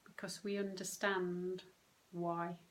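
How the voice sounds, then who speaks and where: Very slow-speaking woman guiding a meditation. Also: fairly low pitch, level tone. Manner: gentle, unhurried, with long pauses between short phrases. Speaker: meditation guide and coach. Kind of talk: guided meditation, soothing instruction.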